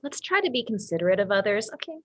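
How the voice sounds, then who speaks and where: A woman's voice: mid-range voice, sing-song, fast talker, a bit bright, reverberant, a little hiss or hum. A woman playing a seductive, sadistic twin sister in a horror play, teasing and mock-polite. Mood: playful menace. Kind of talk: dramatic livestream script reading.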